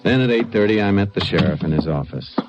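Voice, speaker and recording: A man acting a steady, shrewd Texas Ranger investigator in an old radio drama, clipped, matter-of-fact and folksy. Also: fairly low pitch, quick pace, music in the background, lightly acted. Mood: grave, narrating. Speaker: lead actor and narrator. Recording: dull old radio recording.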